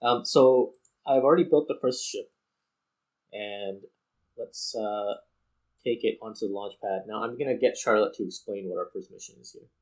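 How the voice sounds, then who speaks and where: Man, deeper pitch, casual gameplay commentary, thinking aloud, explanatory. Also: moderate pace, darkish tone, low-quality recording. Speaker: video game streamer and host.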